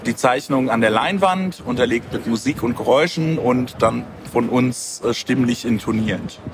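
A man's voice: medium pitch, moderate pace. A man speaking as a guest author in a casual interview, colloquial and wry in the way he talks. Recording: clearly noisy, ambient background noise.

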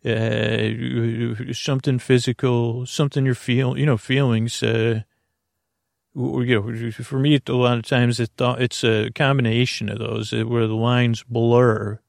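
Creaky, meandering man, bedtime podcast host. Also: medium pitch, flat delivery, no room sound, clean studio sound. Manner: meandering, halting, soothing, full of tangents. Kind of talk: slow rambling bedtime monologue.

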